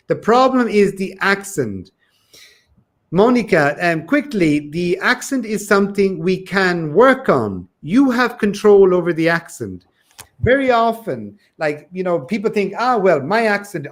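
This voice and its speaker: A man's voice: sits high, a bit bright. A man from Ireland working as an English teacher, chatting casually on a livestream and coaching learners in an encouraging, emphatic way, often repeating his phrases.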